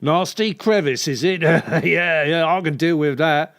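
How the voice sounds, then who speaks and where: An actor playing a cheeky, flirtatious working-class English builder, brash and slangy, in a comic audio drama. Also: male voice, fairly high pitch, a little slow, fairly bright tone. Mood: brash, suggestive.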